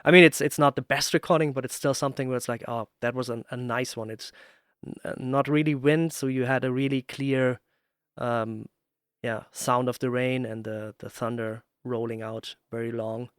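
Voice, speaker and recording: A man's voice: mid-range voice, steady tone, moderate pace, clean tone, dry recording, clean studio sound. A man hosting a podcast, chatting casually and searching for his words as he tells stories. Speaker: podcast host. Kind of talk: casual interview chat.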